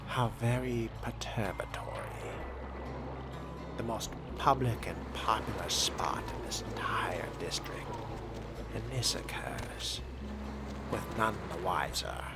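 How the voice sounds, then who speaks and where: A man narrating a video game in character, measured and theatrical, with formal wording. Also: on the low side. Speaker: role-play gamer voicing his character.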